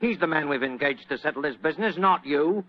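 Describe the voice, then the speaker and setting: An actor playing a surly, defiant big man in a radio drama, gruff and confrontational. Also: a little high, animated, fast, lightly acted. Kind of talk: old-time radio drama acting. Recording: muffled old radio recording.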